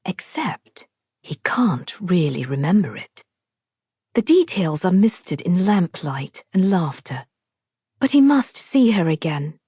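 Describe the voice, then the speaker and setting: Female audiobook storyteller, deliberate and measured, lowish husky voice, recorded very dry. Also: very animated, warm tone, dry recording. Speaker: author narrating her own audiobook. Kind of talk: scripted literary narration, storytelling.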